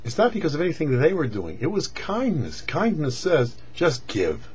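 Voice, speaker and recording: Guy doing a rabbi's torah talk, lively up and down pitch, kinda muffled with a bit of noise. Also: normal speed, breathy edge. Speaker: rabbi presenting a weekly Torah portion show. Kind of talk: religious teaching, read commentary. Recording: dull lo-fi audio with faint noise.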